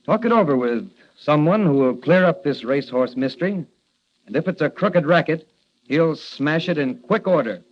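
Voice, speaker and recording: An actor playing an earnest young rookie cop and secret crime fighter, brisk and confident, in old radio drama style. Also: male voice, fairly high pitch, animated, lightly acted. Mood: reassuring, determined. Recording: dull, noisy old radio recording.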